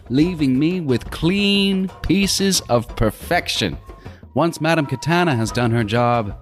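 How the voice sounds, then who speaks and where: An actor playing a meticulous, self-styled artist serial killer in a horror audio drama, savoring his words theatrically with swooping emphasis. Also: lowish voice. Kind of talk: first-person horror audio drama monologue.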